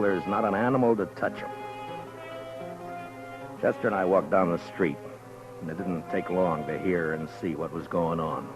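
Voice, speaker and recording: An actor playing a steady frontier marshal in a 1950s radio western, narrating in a slow, measured, low-key way. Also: male voice, dull audio, close to an everyday voice. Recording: old radio broadcast with music.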